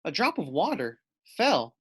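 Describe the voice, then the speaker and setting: Male storybook reader for kids. Expressive, very varied pitch, slow deliberate delivery. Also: slightly rough. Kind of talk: read-aloud for children.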